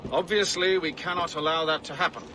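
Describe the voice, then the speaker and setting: Man as stern, higher-voiced gentleman commander. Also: steady tone, lightly acted, rough recording. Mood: grave. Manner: formal, measured, authoritative. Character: stern, commanding gentleman leader of a secret order. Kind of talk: video game cutscene acting.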